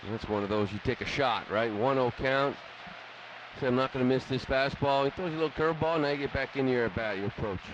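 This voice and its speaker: A man's voice: mid-range voice, fast talker, dull audio. Male baseball analyst over ballpark noise. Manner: informal, explains plays in everyday talk. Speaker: radio baseball color analyst. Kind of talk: conversational sports analysis. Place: broadcast booth at an outdoor ballpark. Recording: noisy live stadium radio broadcast. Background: loud ballpark ambience.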